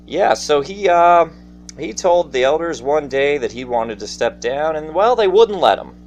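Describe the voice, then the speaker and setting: Animated male storyteller with a theatrical, folksy delivery. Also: fairly high pitch, unhurried. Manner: theatrical, folksy, addressing listeners directly. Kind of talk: casual personal storytelling monologue to an audience. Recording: faint background noise.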